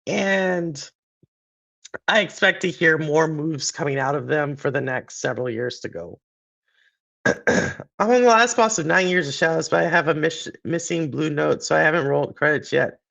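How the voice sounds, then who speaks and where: A man hosting a casual gaming podcast, his throat sore and his voice hoarse and deeper than usual, talking in a rambling way with many fillers and restarts. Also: normal speed, a bit bright, muffled recording. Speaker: podcast host and streamer. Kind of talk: casual gaming and business commentary.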